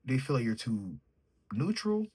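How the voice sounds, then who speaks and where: A man with a mellow, sleepy way of talking, reading tarot cards and thinking aloud as he draws them. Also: medium pitch, big pitch swings, unhurried, slightly rough. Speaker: tarot reader. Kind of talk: solo tarot reading, casual chat.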